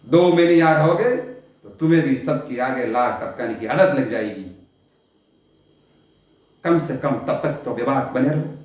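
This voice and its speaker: An actor playing a world-weary, eccentric sultan in a stage monologue, grand and theatrical, with long dramatic pauses. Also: male voice, mid-range voice, darkish tone, lightly acted. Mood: indulgent. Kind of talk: Hindi stage play monologue, poetic Urdu diction. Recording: very echoey, reverberant room.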